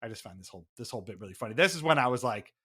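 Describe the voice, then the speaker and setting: Bright-voiced man, sardonic sports podcaster. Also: mid-range voice, lively intonation, racing, breathy edge. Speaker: podcast co-host. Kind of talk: casual sports podcast chat.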